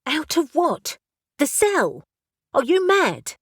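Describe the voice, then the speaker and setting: A woman's voice: on the high side, very animated, slow pace, no room sound, studio quality, voice pushed up, exaggerated voice. A woman voicing a confused, frightened young woman locked in a cell, in a storybook reading. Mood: anxious.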